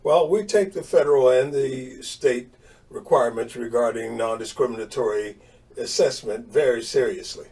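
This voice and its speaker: A man's voice: mid-range voice, normal speed, darkish tone, close to an everyday voice. Reassuring, formal principal, played by a man; distant, roomy pickup. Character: helpful, agreeable male school principal. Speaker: school principal in training video. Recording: distant mic.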